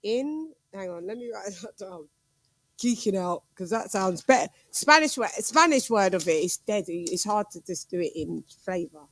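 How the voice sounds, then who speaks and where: A woman host chats playfully and laughs a lot. Her voice is mid-pitched and the sound is dry, close to the mic. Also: no echo. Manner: lively, playful, quick to laugh. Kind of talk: casual chat.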